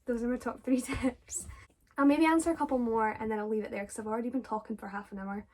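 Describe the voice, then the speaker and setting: A woman's voice: fairly high pitch, steady tone, fast, darkish tone. A young Scottish woman with a Scottish accent, chatting casually as she answers viewers' questions, with lots of fillers and self-corrections. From Scotland. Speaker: drama student vlogger.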